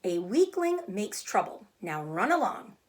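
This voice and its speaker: A woman reading a storybook as a gentle but firm farmer father, calmly reasoning. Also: mid-range voice, measured pace.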